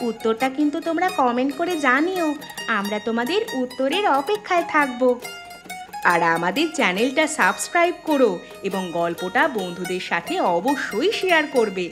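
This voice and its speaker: A woman's voice: high voice, animated, moderate pace, music in the background. A woman voicing a young village daughter-in-law in a cartoon, forgiving and resolute, speaking in an earnest, emphatic way. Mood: instructive, friendly. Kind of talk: Bengali moral cartoon dialogue.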